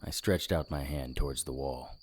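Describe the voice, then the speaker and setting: A man playing a sardonic occult investigator, narrating a noir audio drama in the first person with a wry, deadpan manner. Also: a bass voice, normal speed. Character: hard-boiled, sardonic male occult investigator. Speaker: narrator and lead character.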